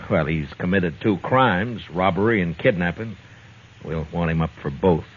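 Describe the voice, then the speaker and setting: Frontier lawman, male actor, very low voice, laconic and stern, vintage radio western with noisy sound. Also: moderate pace, phone-quality sound, natural voice. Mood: measured, formal. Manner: dry, terse, plain-spoken. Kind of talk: 1950s radio western acting.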